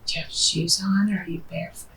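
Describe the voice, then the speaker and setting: A woman working as a hypnotherapist, asking gentle guiding questions in a soft, breathy near-whisper with murmured acknowledgements. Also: on the low side, flat delivery, quick pace. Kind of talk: hushed, slow guided hypnosis questioning. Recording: distant mic, background noise.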